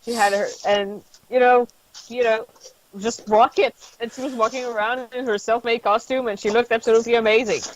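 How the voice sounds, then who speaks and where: A woman's voice: on the high side, steady tone, fairly quick. A woman in her fifties, a guest on a casual panel chat, telling reflective anecdotes at an easy pace. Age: 50s. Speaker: podcast guest. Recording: dull lo-fi sound.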